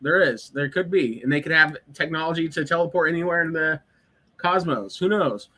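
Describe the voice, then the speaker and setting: A man's voice: a little high, a little fast, bright, forceful tone. A man co-hosting a casual live podcast chat, sick with a cough, rambling and restarting his sentences. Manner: rambling, hesitant, many restarts. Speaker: podcast co-host.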